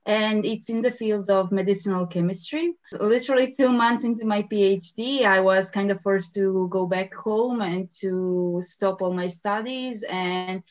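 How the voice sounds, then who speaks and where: A woman's voice: mid-range voice, measured pace, hardly any pauses, dull audio. A woman, a PhD student speaking forthrightly and critically in an interview. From Croatia. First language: Croatian. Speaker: PhD student and student union official. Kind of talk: interview answers.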